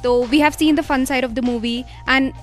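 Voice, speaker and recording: A woman's voice: sits high, steady tone, a little fast, soft background music. A woman interviewing film actors, asking questions hesitantly with fillers like "uh" and "like".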